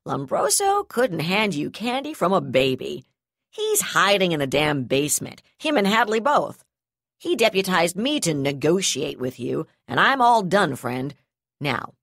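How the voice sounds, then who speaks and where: A woman reading the lines of a ruthless, foul-mouthed female brigadier in an audiobook, snarling and sneering loudly. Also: fairly low pitch, no echo, studio quality. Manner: snarling, sneering, loud threats.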